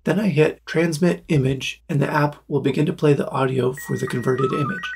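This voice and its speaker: A man in his forties with a husky, slightly gravelly voice, narrating an explanatory tutorial. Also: on the high side, moderate pace, darkish tone. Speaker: video maker presenting a tutorial.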